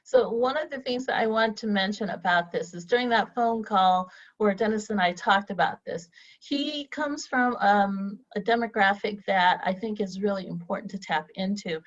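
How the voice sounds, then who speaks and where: A middle-aged woman from Vietnam, raised in Southern Illinois, a lawyer co-presenting a webinar over a video call in a composed, measured way. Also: mid-range voice. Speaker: government agency lawyer, co-presenter. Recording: dull, muffled video-call audio.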